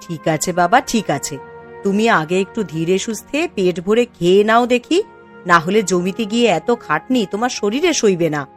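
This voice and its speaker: A woman acts a village farmer's wife in a cartoon moral tale. She gently chides and coaxes, her pitch moving a lot, with music in the background. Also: expressive, normal speed, close to an everyday voice. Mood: caring, chiding. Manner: fussing, coaxing. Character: caring, gently chiding young farmer's wife. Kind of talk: Bengali cartoon moral story acting.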